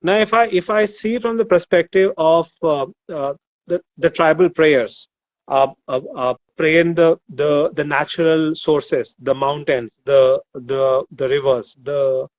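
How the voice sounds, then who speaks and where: A man who grew up in Nigeria, an author guest in a casual discussion, who talks thoughtfully and pauses often to search for words. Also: on the high side, steady tone, no echo, dull audio. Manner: thoughtful, with many fillers and restarts.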